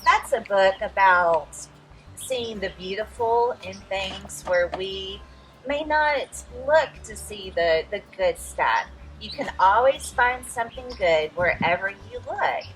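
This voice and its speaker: Bright-voiced woman reading to children, unhurried. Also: medium pitch, poor audio. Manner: expressive storytelling, addresses young listeners, pauses for picture asides. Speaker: children's read-aloud host. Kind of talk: picture book read-aloud for children.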